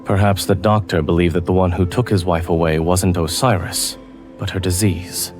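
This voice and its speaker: A man playing a calm, reserved and learned doctor in a scripted fan dub, speaking in a measured, formal way. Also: low-pitched, faint music behind, lightly acted. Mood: calm, detached. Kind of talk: scripted fan dub acting with ASMR leanings.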